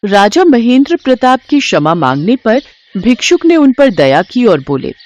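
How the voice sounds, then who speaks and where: Animated woman storyteller. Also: a little high, moderate pace, highs cut off. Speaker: narrator. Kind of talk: scripted children's story narration.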